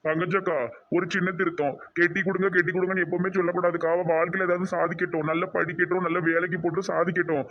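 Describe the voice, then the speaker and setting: Man as a quick, earnest Tamil cartoon lawyer. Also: high voice, flat delivery, lo-fi sound. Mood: firm, advising. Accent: southern Tamil Nadu. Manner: earnest, advising. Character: earnest, kindly young male lawyer helping neighbours. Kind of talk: cartoon dialogue in colloquial dialect.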